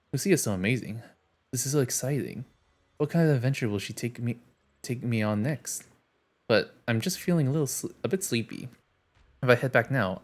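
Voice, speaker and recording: A man streaming a game reads its story text aloud in a casual, plain way, stumbling and restarting now and then. His voice sits at a middle pitch, with faint game music behind it. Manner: casual, plain reading with small restarts and asides. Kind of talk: reading game dialogue aloud.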